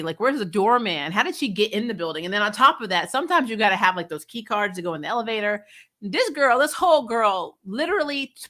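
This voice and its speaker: A woman giving a casual, frank, salty and animated spoken review as the host of a recap show. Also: a little high. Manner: animated storytelling, laughs often, frank and salty. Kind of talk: casual chatty episode review, reacting to live comments.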